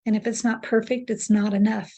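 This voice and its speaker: A woman in her sixties with a gravelly, husky voice speaks in a flat, level tone. She talks candidly about her feelings and repeats the practitioner's phrases back one by one. Her delivery is reflective and unhurried. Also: on the high side. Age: 60s. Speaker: client in a tapping session. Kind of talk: echoing affirmations after the practitioner.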